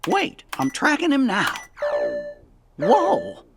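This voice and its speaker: A man voicing a small, fussy cartoon cricket, fretful and precise, in an animated game. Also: extremely high pitch, takes it slowly, gravelly, heavy background noise, full character voice. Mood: alert. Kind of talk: animated game cutscene acting.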